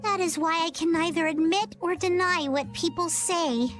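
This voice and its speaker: A woman's voice: very high voice, moderate pace, fairly bright tone, soft background music. A woman voicing a plucky, stubborn young girl treasure hunter in video game dialogue, self-assured and stoic. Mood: resolute.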